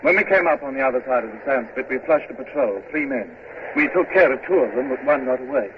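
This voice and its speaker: A man playing a brisk young naval lieutenant. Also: mid-range voice, animated, natural voice. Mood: urgent report. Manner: quick, clipped reporting. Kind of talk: 1950s radio drama acting. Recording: tinny noisy old radio recording.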